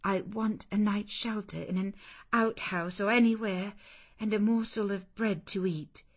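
A woman's voice: medium pitch, fairly even intonation, unhurried, slightly rough, voice pushed up. Woman voicing a desperate pleading young woman. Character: desperate young woman wanderer begging shelter.

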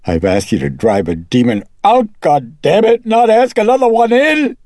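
A man acts out an anguished, angry mother in a dramatic audiobook. The voice is pushed up high, with a husky quaver and huge swings in pitch. It sounds tense and emotional. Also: very animated, warm tone, dry recording, studio quality, heavily acted. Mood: angry, loud. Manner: emotional, haltingly tearful, erupting into shrieks. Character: distraught, despairing, furious mother of a troubled child.

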